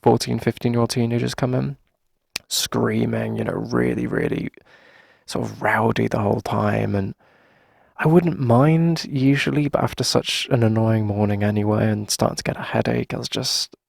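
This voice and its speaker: A man's voice: mid-range voice, fairly even intonation, moderate pace, no room sound, clean studio sound. A man playing a friendly, flustered coffee shop owner in a casual, chatty ASMR roleplay, talking hesitantly as if to a favorite customer. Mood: weary, exasperated. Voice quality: creaky, gravelly. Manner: hesitant, chatty, sighing conversational delivery.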